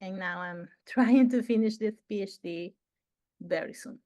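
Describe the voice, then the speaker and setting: A woman's voice: mid-range voice, measured pace, warm tone, no room sound. Casting: woman from Peru, 30s, thoughtful interview guest, dead-dry sound. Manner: thoughtful, laughs between phrases, clears throat. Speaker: guest researcher. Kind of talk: conversational interview answer.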